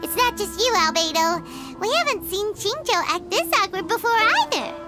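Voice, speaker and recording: Super high squeaky little fairy girl, excitable, talks about herself in third person, swoopy voice, loud game music under it. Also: moderate pace. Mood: teasing. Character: tiny chatty fairy companion girl, excitable. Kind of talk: game voice acting.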